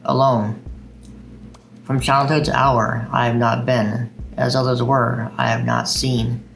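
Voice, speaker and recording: A man hosts a poetry reading video in a mid-pitched voice. There is a noticeable creak and fry to his speech. Faint music plays behind him. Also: little pitch movement, slow, fairly bright tone, rough recording. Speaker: video host reading poems.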